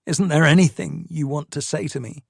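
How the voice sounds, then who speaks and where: A man's voice: on the high side, fairly even intonation, normal speed, creaky, dry recording, pitched above the performer's own voice. A man voicing an anguished father who pleads earnestly, in a dry audiobook reading. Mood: pleading.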